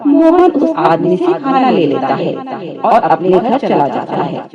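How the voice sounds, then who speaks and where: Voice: mid-range voice, huge pitch swings, unhurried, muffled recording, a loud crowd. A narrator telling a scripted moral story in a storytelling style.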